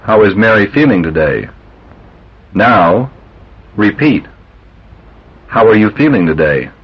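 Deep-voiced man reading language drills. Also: big pitch swings, slightly rough. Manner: slow, deliberate enunciation. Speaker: language tape instructor. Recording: dull old language tape.

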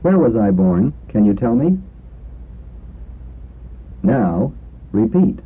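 Guy reading english drills slow n careful, raspy voice, tinny old tape. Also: fairly low pitch, very animated. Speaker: language lesson narrator. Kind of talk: scripted language drill.

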